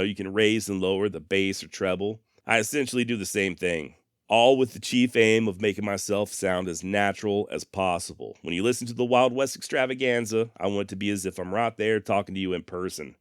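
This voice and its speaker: Male podcast host, low smooth voice, casual direct address, plainspoken and emphatic, dry close-mic recording. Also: dry recording. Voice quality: deep voice. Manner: plainspoken, mildly profane, emphatic. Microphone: close dynamic studio microphone, processed.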